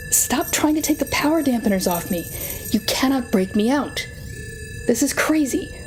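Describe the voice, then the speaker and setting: A woman playing a sardonic, telepathic supervillain in an audio roleplay, speaking straight to the listener with dramatic, wry exasperation. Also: a little high. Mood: alarmed, flustered. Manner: dramatic, wry, exasperated, halting when flustered.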